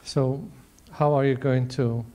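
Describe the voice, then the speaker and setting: Mellow, deliberate man in his sixties. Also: medium pitch, fairly even intonation. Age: 60s. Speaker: panel member, ocular oncologist. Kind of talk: measured panel discussion answer. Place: large auditorium stage. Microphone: handheld microphone.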